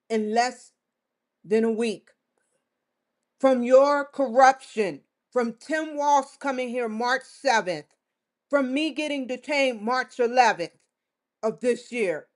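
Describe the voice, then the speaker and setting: A woman vlogger giving a defiant, confrontational monologue straight to the camera, swearing often. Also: high-pitched. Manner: defiant, confrontational, with frequent profanity. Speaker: vlogger hosting her own show.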